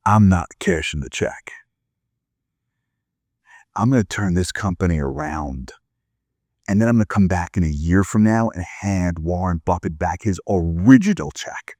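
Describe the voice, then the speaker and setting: A man voicing an excitable, star-struck boss of a struggling company, insistent and bragging in a told story. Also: deep voice, unhurried, pauses often, dry recording, pitched below the performer's own voice. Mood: determined.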